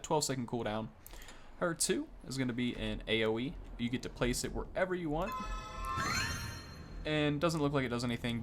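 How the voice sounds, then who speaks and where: A man hosting a gaming video, giving casual, easily impressed first-look commentary that rambles and corrects itself. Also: medium pitch.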